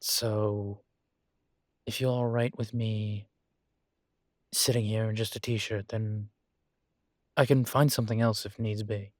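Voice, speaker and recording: A man playing a vain, seductive yet politely awkward incubus demon professor in an intimate audio roleplay, speaking hesitantly with drawn-out phrasing. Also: on the low side, flat delivery, no room sound. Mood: sheepish. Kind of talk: intimate audio roleplay, one-sided conversation.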